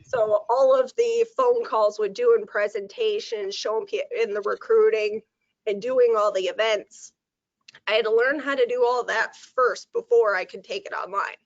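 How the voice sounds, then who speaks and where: Slightly hoarse woman on a lo-fi video call. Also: a little high, normal speed, no echo. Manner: chatty, laughs easily, explains at length. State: slightly hoarse, sore throat. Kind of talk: casual video call update. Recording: dull lo-fi video call audio.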